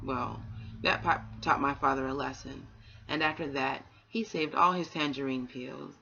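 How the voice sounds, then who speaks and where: Creaky-voiced woman reading bedtime stories. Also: a bass voice, normal speed, pushed and bright. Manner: nurturing, encouraging toward young listeners. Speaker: bedtime story reader and host. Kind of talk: bedtime storybook narration. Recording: dull, lo-fi home recording.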